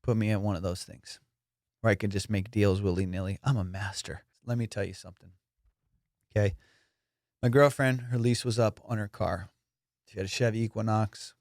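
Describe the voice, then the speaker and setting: Young guy comedian ranting about his deals, swears a lot, flat dry room sound. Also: lowish voice, normal speed, long pauses, no echo. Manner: profane, boastful storytelling with excited bursts. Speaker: comedian, podcast host. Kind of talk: casual comedic monologue.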